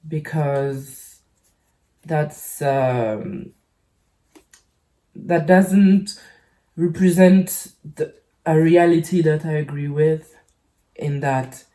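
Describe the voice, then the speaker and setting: A woman from the Caribbean speaking casually and hesitantly to her channel's audience, halting and rambling as she goes. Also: low voice, warm tone. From French Caribbean island. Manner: hesitant, halting, rambling with frequent pauses and repeats. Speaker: YouTube channel host. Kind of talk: casual personal vlog talk.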